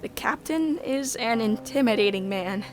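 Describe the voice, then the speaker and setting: A woman narrating a sci-fi visual novel in the first person, measured and descriptive. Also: fairly high pitch, expressive, soft background music, low-quality recording.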